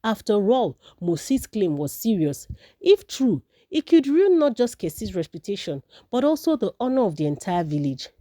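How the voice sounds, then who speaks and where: A woman's voice: mid-range voice, big pitch swings, normal speed, warm tone, dry recording, clean studio sound. A woman narrating a folktale from a script as a storyteller.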